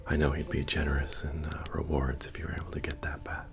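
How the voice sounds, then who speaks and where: A man playing a friendly, humble medieval fantasy innkeeper in a soft-spoken, deep-voiced, understated ASMR roleplay. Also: level tone, quick pace, vocal fry. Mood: easygoing, musing. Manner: hesitant, rambling, understated delivery. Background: bard music.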